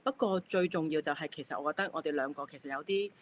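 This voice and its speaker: A woman artist from Hong Kong presenting her work in an online talk, soft and a little hesitant. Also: deep voice, quick pace, slight background noise. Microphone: video call.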